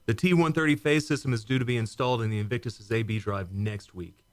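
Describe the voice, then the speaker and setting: A man's voice: mid-range voice, fast, dry recording, natural voice. A man playing a serious, dutiful starship commander in a science fiction radio drama, speaking in a grave military manner. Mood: grave, concerned.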